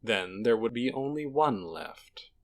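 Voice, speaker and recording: A man's voice: medium pitch, expressive, measured pace. A man narrating a fantasy audiobook in a dramatic, scripted storytelling style.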